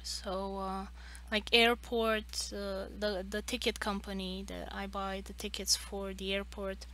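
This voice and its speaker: A woman with a squeaky voice chats unhurriedly as she draws on a live stream. She rambles, piling up fillers and restarting her sentences. A faint hum sits under her voice. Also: level tone, measured pace, a bit bright. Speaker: art streamer. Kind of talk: casual chat while drawing.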